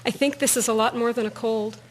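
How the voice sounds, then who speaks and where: A woman's voice: a little high, fairly even intonation, brisk, close to an everyday voice. A woman playing a worried, devoted wife in an audio drama. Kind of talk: scripted audio drama acting.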